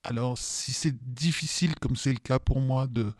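A man in his fifties gives a spontaneous personal testimony. His voice is soft-edged and mellow, recorded very dry. He hesitates and often repeats words while finding his phrasing. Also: mid-range voice, moderate pace, no echo. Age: 50s. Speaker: testimony guest with lived experience of depression.

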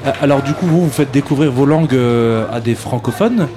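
A man's voice: medium pitch, fairly quick, noisy background. A man hosting an interview asks his questions in a mid-range voice. He rambles and restarts often before reaching the point.

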